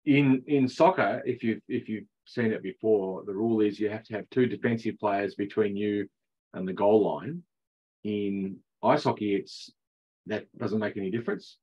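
A middle-aged man with a husky voice talks through hockey rules over a video call, chatty and easygoing. The audio is dull and lo-fi, like a laptop mic. He chuckles now and then at his own lines. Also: lowish voice, moderate pace. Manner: chatty explainer who laughs at his own jokes. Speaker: ice hockey coach. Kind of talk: online rules session.

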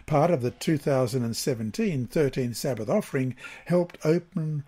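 Male lesson reader from Australia, mid-pitched and a little raspy, delivering scripted devotional material with deliberate, measured phrasing. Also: mellow.

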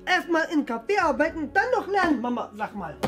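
A man's voice: extremely high pitch, sing-song, brisk, music in the background. A young man acting a whiny, cheeky schoolboy son in a family web series, defensive and stammering. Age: 20s. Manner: defensive, stammering, childlike protesting. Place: small furnished rooms indoors.

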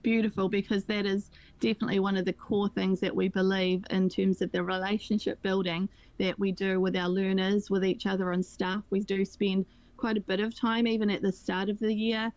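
A woman's voice: mid-range voice, flat delivery, brisk, a little mellow, no room sound, low-quality recording. A woman speaking as a school staff guest in an interview, explaining things earnestly and conversationally.

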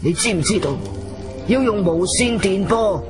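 An intense, secretive man of science in a Cantonese radio drama from Guangzhou. His voice sits on the high side and his delivery is emphatic and challenging. Music plays clearly behind him. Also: animated, measured pace. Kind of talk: dramatic radio play dialogue.

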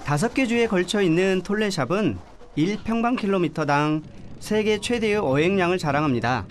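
A man giving calm, measured scripted voice-over narration for a travel documentary. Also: high-pitched, slight background noise. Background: background music.

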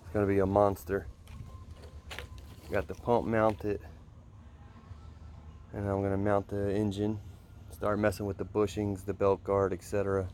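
A man's voice: on the low side, monotone, a little slow, long pauses, a little mellow, a little hiss or hum. A man casually talking through a hands-on build, explaining it step by step in informal, colloquial words, recorded outdoors on a handheld phone. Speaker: pressure washing hobbyist showing a build. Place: outdoors on a concrete patio. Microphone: handheld phone behind the camera.

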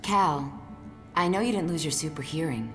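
An actress playing a caring, direct warrior woman in a video game cutscene, speaking softly and earnestly. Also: low-pitched, expressive, moderate pace. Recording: roomy reverb with music.

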